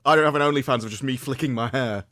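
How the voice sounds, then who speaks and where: A man's voice: mid-range voice, rapid-fire, dry recording, studio quality. An American man in casual livestream chat, telling rambling joking stories. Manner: rambling, joking, animated storytelling.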